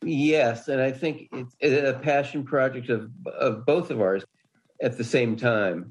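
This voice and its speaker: A man's voice: mid-range voice, measured pace, husky, rough recording. An older man, a writer being interviewed, talking casually and anecdotally. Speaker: interview guest, screenwriter.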